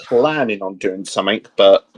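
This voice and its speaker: A man co-hosting a geek show, chatting casually and piecing his ideas together haltingly as he goes. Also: fairly low pitch, slightly rough. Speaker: co-host of a geek discussion show. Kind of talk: casual chat, pitching ideas.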